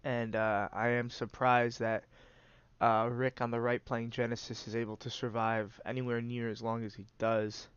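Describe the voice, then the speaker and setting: A man's voice: mid-range voice, level tone, normal speed, a bit bright, clean tone, no room sound, highs cut off. A man with a congested, stuffy nose hosting and giving casual, rambling play-by-play commentary on a card game. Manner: rambling, many "uh" fillers, restarts. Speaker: host and commentator.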